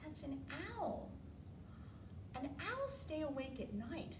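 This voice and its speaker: An American woman leading an interactive storytime for preschoolers, asking simple questions and drawing out key words in an animated way. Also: sits high, slow pace. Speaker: children's storytime librarian. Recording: roomy, distant mic, faint room noise.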